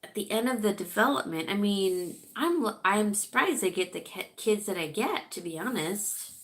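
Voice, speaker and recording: A woman's voice: mid-range voice, animated, moderate pace, a bit bright. A middle-aged woman from California hosting a casual livestream, chatty and rambling, a bit congested and sniffling. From California, USA. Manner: rambling, chatty, reads viewer comments aloud. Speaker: livestream host chatting with viewers.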